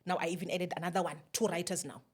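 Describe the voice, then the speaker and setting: A South African woman telling her story in a casual interview, animated, laughing often and slipping in local phrases. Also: on the low side, very fast. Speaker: guest, YouTube creator.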